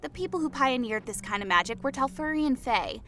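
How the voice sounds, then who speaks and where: A woman's voice: sits high, lively intonation, fairly bright tone. A woman voicing an impatient, snappish female officer giving reports in an audiobook. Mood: brisk. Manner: brisk, snappish. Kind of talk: audiobook dialogue reading.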